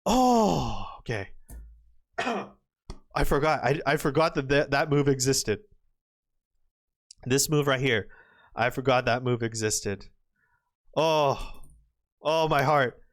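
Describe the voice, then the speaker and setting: A slightly hoarse man commentating on a go match, exclaiming and trailing off as the game turns. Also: fairly high pitch. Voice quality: excitable, exclamatory, trails off and restarts. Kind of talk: excitable live game commentary.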